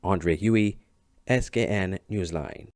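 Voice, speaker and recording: A man's voice: sits low, slow pace, crowd noise. A man with a Caribbean accent reading a scripted news report as a reporter.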